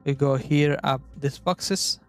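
A man's voice: a little high, steady tone, takes it slowly, darkish tone, faint music behind. A young man, chatting casually through a gameplay guide and sometimes hunting for words. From Argentina. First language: Spanish. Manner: chatty, searches for words, swears at the game. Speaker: gaming YouTuber.

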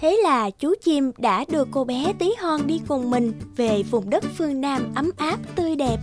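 A woman's voice: high voice, expressive, moderate pace, music in the background. A woman narrating a children's fairy tale in a scripted storytelling style.

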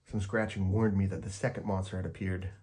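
A man reading a children's picture book aloud in an expressive, deliberate storytelling manner. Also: low-pitched.

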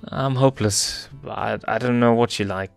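A man's voice: mid-range voice, steady tone, normal speed, vocal fry, faint music behind, lightly acted. A man voicing a playful, hapless young male lion cub in an amateur comic dub, stammering and hesitant. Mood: sheepish, dejected. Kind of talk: amateur comic fandub acting.

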